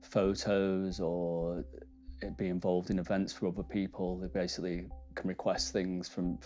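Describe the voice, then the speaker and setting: Deep flat-voiced man. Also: soft background music. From Manchester. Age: 30s. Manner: hesitant, halting explanations. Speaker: interviewee.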